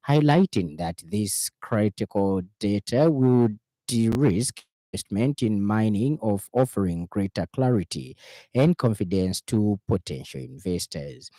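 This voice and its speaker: A man with a Zambian accent reading a formal radio news bulletin in a measured, scripted delivery. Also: on the low side, dark tone, no echo.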